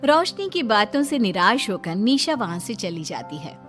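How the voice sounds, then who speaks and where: A woman's voice: medium pitch, big pitch swings, moderate pace, fairly bright tone, soft background music. A woman reading scripted narration for a cartoon moral story.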